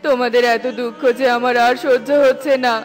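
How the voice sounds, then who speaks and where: A man's voice: very high voice, flat delivery, brisk. A man voicing a sickly, sorrowful father bird in a children's cartoon, mournful and resigned. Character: sickly, sorrowful father tailorbird, devoted husband.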